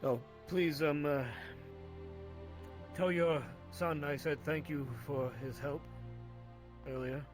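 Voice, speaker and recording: A man playing a deferential, anxious military surgeon in a dark fantasy roleplay, with a halting, polite delivery. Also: fairly high pitch, steady tone. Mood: hesitant, polite. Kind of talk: live improvised roleplay acting. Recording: music behind the voice.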